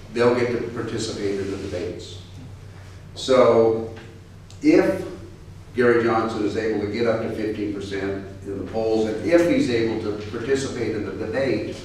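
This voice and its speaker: A man's voice: mid-range voice, a little mellow. Middle-aged American male consultant, thoughtful and candid, far from the mic, faint room noise. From United States. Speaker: political consultant. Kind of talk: panel discussion answering questions. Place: university lecture hall. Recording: echoey lecture hall from far off.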